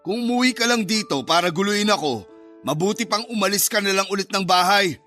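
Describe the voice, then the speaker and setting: A man's voice: sits high, a little fast, bright, forceful tone. A curt, irritable Filipino father. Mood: angry, dismissive. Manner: curt, dismissive. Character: cold, irritable father rejecting his son. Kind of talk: Filipino radio drama acting. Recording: music behind the voice.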